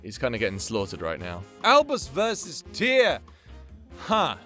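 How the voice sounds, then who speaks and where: A man's voice: mid-range voice, wildly swooping pitch, a little slow, sharp tone, soft background music. A man giving casual, off-the-cuff commentary, thinking aloud and second-guessing himself before landing on blunt verdicts. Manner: mild swearing. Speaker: audio creator ranking his fictional characters in matchups.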